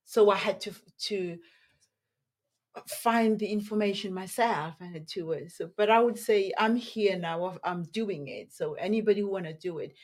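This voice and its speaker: A soft-voiced woman, a nutritionist, chatting as a podcast guest, animated and quick to laugh, often restarting her sentences. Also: medium pitch. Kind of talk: casual interview chat.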